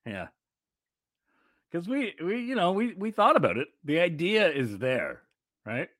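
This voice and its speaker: A man hosting a laid-back podcast. His voice swings widely in pitch as he rambles and restarts, and he giggles through his sentences. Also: big pitch swings, measured pace, fairly bright tone, no echo, studio quality. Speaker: podcast co-host. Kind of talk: casual chat.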